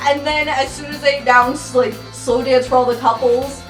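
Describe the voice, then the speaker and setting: A woman's voice: high-pitched, fairly even intonation, moderate pace. A woman playing casually in an improvised tabletop roleplay game, describing her character's moves a little tentatively. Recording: roomy, distant mic, music behind.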